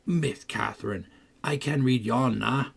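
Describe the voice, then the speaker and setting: Man doing an awkward proud young country lad, coarse halting rustic speech, storybook character, husky. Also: mid-range voice, full character voice. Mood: awkward pride.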